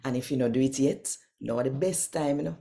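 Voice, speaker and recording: A woman's voice: extremely low pitch, a little fast, gravelly, dark tone. A woman with a Jamaican accent hosting and reading scripture devotionally, speaking conversationally and straight to the listener. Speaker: host and scripture reader.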